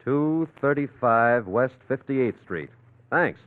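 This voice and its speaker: A man playing a brisk, confident private detective in a 1940s radio drama, terse and breezy as he probes. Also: mid-range voice, expressive. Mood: smooth inquiring. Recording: tinny, narrow-band old radio recording.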